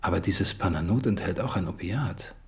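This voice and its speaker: An actor playing a concerned family doctor in a radio crime drama, measured and probing. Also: male voice, low-pitched. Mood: concerned, firm. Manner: measured, questioning.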